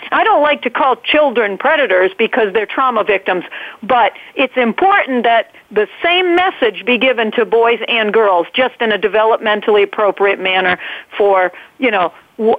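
A woman with a New England accent speaks in a fairly high voice and presses her argument hard, stacking point on point. She comes through a thin, tinny phone line with a dry, close sound. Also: no echo. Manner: emphatic, fast-flowing, piles up points. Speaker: guest advocate. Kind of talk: earnest argument on a call-in show.

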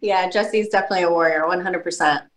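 A woman's voice: lowish voice, steady tone, fast. Friendly woman from jersey and upstate ny talking as a guest, roomy echo. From New Jersey and upstate New York. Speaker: guest author. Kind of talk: casual interview chat.

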